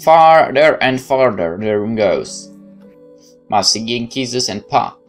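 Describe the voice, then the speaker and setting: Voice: medium pitch, expressive, slow pace. Gamer doing a let's play, reading out story text, hesitant casual asides, music faintly behind. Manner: hesitant, thinking aloud with asides. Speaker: gaming video host. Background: game music.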